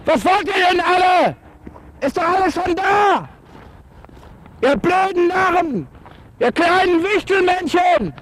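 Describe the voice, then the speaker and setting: Shrill shouting man ranting. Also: animated, takes it slowly, pauses often, low-quality recording. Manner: shouting, agitated, insulting. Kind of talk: ranting outburst.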